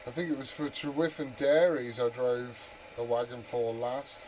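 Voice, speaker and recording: A man's voice: mid-range voice, little pitch movement, moderate pace. A British man chatting casually over CB radio, often asking short questions. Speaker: CB radio operator. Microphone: two-way radio microphone. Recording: very noisy CB radio transmission.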